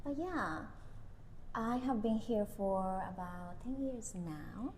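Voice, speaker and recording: Japanese-accented woman, podcast co-host, measured and easygoing, light hiss on the track. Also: medium pitch, lively intonation, a little mellow, low-quality recording. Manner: slow, giggly, with many pauses and fillers. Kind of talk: casual chat.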